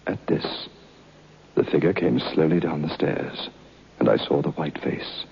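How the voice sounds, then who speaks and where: A solemn, haunted man narrating vintage radio horror. His low voice has a gravelly edge and moves in deliberate, dramatic phrases, on a dull old recording. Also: exaggerated voice. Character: solemn, haunted man recounting a ghostly tale. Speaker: narrator and lead actor in a radio play.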